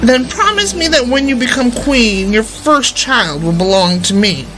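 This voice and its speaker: A woman's voice: on the high side, animated, unhurried, music in the background, voice pushed up, low-quality recording. Lady doing a tiny magic little guy from a fairy tale, sly, pushy, always making deals. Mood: sly, bargaining. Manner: bargaining, insistent.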